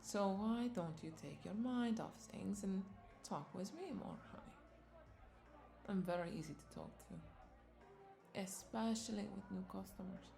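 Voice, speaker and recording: A woman's voice: mid-range voice, a little slow, vocal fry, over music. A woman playing a flirty, doting vampire bartender in a roleplay audio drama, coaxing and affectionate as she speaks to the listener. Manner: coaxing, affectionate, lingering over words with soft chuckles.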